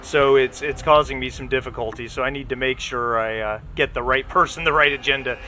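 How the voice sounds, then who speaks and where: A man running an online tabletop game, speaking casually and haltingly with an apologetic manner. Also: mid-range voice, fairly even intonation, bright, forceful tone, a little hiss or hum. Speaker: game master. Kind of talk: casual tabletop role-play over an online session. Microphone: online voice call.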